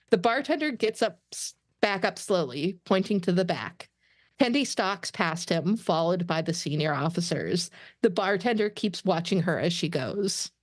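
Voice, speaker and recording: A woman's voice: fairly low pitch, no room sound. A woman reading stage directions as narrator of a scripted table read.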